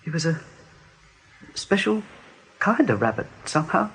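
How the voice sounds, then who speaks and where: An actor voicing a kindly, wistful rabbit with a husky voice, halting and reflective, in a radio play. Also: medium pitch, big pitch swings, a bit bright, a little hiss or hum, exaggerated voice, low-quality recording.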